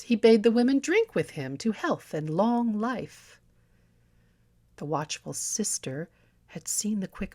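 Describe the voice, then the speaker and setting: A woman narrating a story as an audiobook reader, in a theatrical, deliberate and expressive manner. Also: on the low side. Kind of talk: dramatic scripted story narration.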